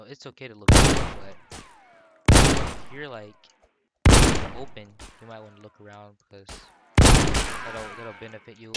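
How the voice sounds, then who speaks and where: A man's voice: on the low side, very noisy. Stuffy-nosed young man rambling over gunfire. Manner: rambling, slangy, lots of fillers and restarts. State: sore throat and stuffy nose. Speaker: gaming YouTuber. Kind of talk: casual gameplay commentary. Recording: loud game gunfire behind the voice. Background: gunshots and clicks from the game.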